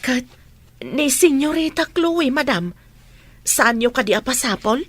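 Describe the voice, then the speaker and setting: A woman's voice: sits high, expressive, a little slow, a bit bright, dry recording, full character voice. A woman acting a chatty, nosy household helper in a radio comedy drama, inquisitive and exclaiming. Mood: curious, concerned.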